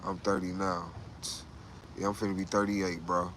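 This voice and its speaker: A man's voice: low-pitched, flat delivery, normal speed, fairly bright tone, slight background noise, rough recording. A man in his late thirties hosting a casual livestream, talking loosely and profanely, repeating himself and saying "bro" a lot. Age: 30s. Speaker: livestream host.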